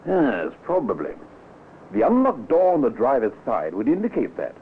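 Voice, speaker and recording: An actor playing a shrewd, cautious police inspector in an old radio drama, dry and politely probing, on a muffled, tinny recording. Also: male voice, medium pitch, wildly swooping pitch, normal speed, a little hiss or hum. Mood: methodical. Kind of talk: old-time radio acting.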